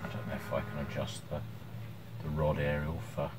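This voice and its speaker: A man's voice: a bass voice, completely flat delivery, brisk. A middle-aged man giving an informal, hesitant workbench demonstration, thinking aloud as he goes. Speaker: electronics hobbyist presenting his repair. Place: small cluttered indoor workshop at home. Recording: clear background noise, room ambience.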